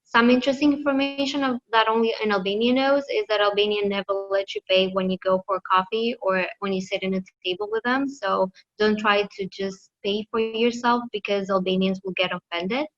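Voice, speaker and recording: A woman presents to a class, her voice sitting at a middling pitch. She talks hesitantly and fills her pauses, often restarting sentences. The sound is a little muffled and lo-fi. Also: steady tone. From Greece. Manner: hesitant, many fillers and restarts. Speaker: student presenter.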